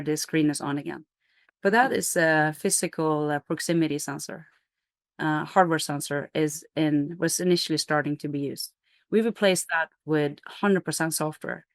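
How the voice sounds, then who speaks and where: A woman's voice: low-pitched, dry recording, studio quality. A middle-aged woman from Norway, a company CEO, explaining her business in an interview with energy, fillers and restarts.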